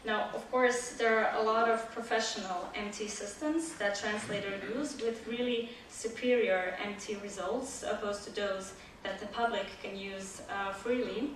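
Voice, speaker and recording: A woman from Croatia, a native Croatian speaker, presents her research at a conference, pausing on "uh" between phrases. She is picked up from far off in a large hall full of echo, with faint room noise underneath. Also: mid-range voice. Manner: measured, with frequent "uh" fillers. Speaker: conference speaker, PhD student. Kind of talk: academic conference talk. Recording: echoey hall audio from far off.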